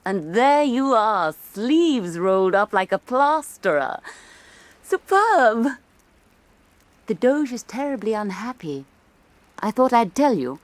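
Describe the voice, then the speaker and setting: A woman's voice: a little high, big pitch swings, takes it slowly, dry recording. An actress playing an elegant, insinuating woman art critic of Renaissance Venice, arch and mannered, in a theatrical radio drama. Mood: insinuating, sensual. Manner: arch, mannered, lingering, condescending.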